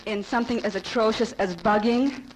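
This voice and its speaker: A woman's voice: fairly high pitch, fairly even intonation, fairly quick. A woman asks a speaker a question from the audience at a public forum. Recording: noisy 1970s live event recording.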